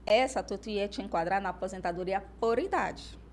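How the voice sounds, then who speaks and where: A woman's voice: medium pitch, a little fast, slight background noise. A woman with a Brazilian accent, speaking as an expert lawyer in an informal podcast discussion, explaining through hypothetical examples.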